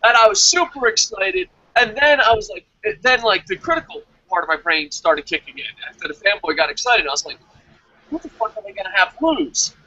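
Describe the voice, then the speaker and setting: Excitable guy, high bright voice, rambling fan talk on a review show. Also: brisk, no room sound, dull audio. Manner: animated, rambling, repeats himself. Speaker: co-host of a wrestling review show. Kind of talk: excitable casual fan commentary.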